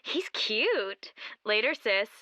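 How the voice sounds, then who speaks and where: A woman's voice: on the high side, sing-song, slow pace, pushed and bright, no echo, full character voice. A woman voicing a breezy, teasing younger sister in a video game, over a band-limited comm link. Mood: playful.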